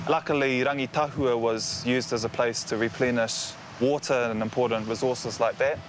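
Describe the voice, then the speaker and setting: A man with a Māori New Zealand English accent tells history earnestly, in a middle-pitched voice. Small hesitations dot his telling, and faint outdoor noise is heard behind him. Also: fairly even intonation, normal speed. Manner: earnest storytelling, slight hesitations. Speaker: guest speaker sharing history.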